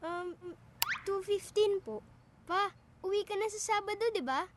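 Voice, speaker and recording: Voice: very high-pitched, unhurried. A performer voicing a timid, hopeful little schoolboy in a cartoon, hesitant and polite, with a Filipino accent. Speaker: child character in animated film. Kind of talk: cartoon dialogue.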